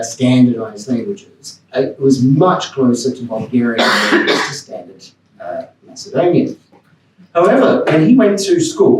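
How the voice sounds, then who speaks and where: A man's voice: mid-range voice, darkish tone, echoey room, recorded from a distance, slight background noise, rough recording. A man from Australia giving a personal, rambling talk on a panel, with restarts and chuckles. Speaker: panel speaker. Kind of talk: academic talk. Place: lecture room.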